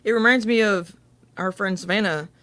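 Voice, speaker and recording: A woman's voice: medium pitch, moderate pace, a bit bright. A woman co-hosting a podcast, chatting casually in a playful, joking way. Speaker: podcast co-host.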